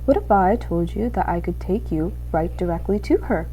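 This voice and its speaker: A woman's voice: fairly low pitch, moderate pace, warm tone, slight background noise, natural voice, rough recording. A woman voicing a sly, cruel male rat villain in a storybook, falsely courteous and drawing out the words in an insinuating way. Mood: oily, mock-friendly.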